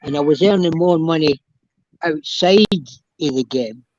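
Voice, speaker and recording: An older Scottish man tells stories from his playing days in a fairly high voice. He rambles and halts as he goes, and the sound is dry and a bit dull, with no room around it. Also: darkish tone, no room sound. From Scotland. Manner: rambling, halting storyteller. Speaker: guest, former footballer. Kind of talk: casual chat, reminiscing.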